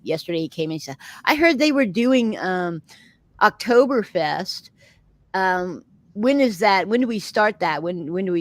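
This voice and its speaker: A woman's voice: on the low side, dry recording. A middle-aged woman with a Texan accent, hosting a casual chat with viewers in a rambling, chatty way and laughing often. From Texas. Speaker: host of a live chat show.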